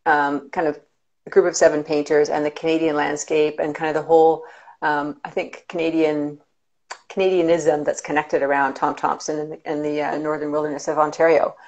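Low-voiced woman hosting a video chat. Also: level tone, brisk, darkish tone, muffled recording. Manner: friendly, encouraging listener with frequent affirmations. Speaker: host. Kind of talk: casual video-call conversation. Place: indoor room with paintings. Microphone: video call webcam.

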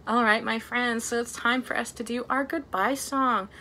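A woman in her thirties leading a storytime for young children as a librarian, talking in an animated way with simple, exaggerated phrasing. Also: a little high, moderate pace, a little hiss or hum. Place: small plain indoor room.

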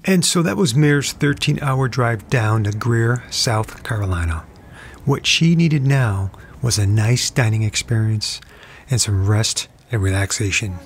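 A middle-aged man giving an upbeat, relaxed voice-over narration for his travel vlog. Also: medium pitch, a little slow, slight background noise.

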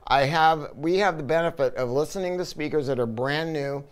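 A man's voice: a little high, brisk, clean tone. A man presenting a product review, explaining technical details in a casual, emphatic, conversational way.

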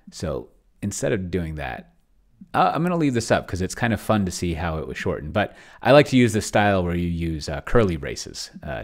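A man giving casual screen-share commentary, thinking aloud in a rambling, hesitant way with wry, self-deprecating humour. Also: deep voice. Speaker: solo tabletop roleplayer, video host. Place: indoors at a computer, screen recording.

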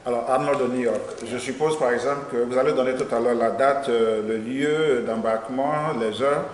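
A middle-aged man hosting a studio interview, asking measured questions and repeating himself to be clear. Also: medium pitch, steady tone. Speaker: host. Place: small studio with logo backdrop. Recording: echoey studio room, faint noise.

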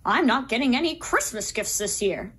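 A woman voicing a sweet, excitable young bear cub in a children's puppet show, childlike and earnest. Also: on the high side, moderate pace, slightly rough. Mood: downcast.

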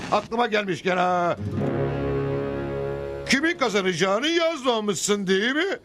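A man's voice: sits high, lively intonation, unhurried, over music. A man voicing a boastful, theatrical bandit rebel leader in a Turkish cartoon dub, pompous and grandiose. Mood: gleeful, boastful.

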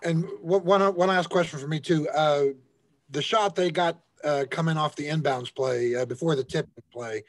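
A man working as a sports reporter, asking press conference questions in a halting, hesitant way. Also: a little high. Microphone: remote call.

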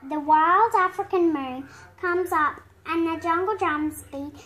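An eight-year-old girl reading a picture storybook aloud at home, haltingly and word by word, with stumbles. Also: very high voice, bright, forceful tone. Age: child, 8 years old. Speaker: young reader. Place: indoor room at home.

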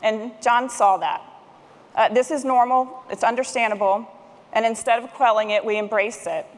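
A woman's voice: on the high side, steady tone, normal speed. A middle-aged woman giving a prepared conference presentation from a stage as a speaker. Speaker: conference speaker, technology manager. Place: large conference stage, indoors. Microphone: headset microphone. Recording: long hall reverb.

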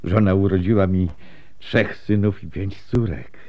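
A man's voice: sits low, fairly even intonation, measured pace, mellow. An actor playing a talkative, pious, good-natured milkman in a folksy, chatty way in a Polish radio drama. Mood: chatty, cheerful.

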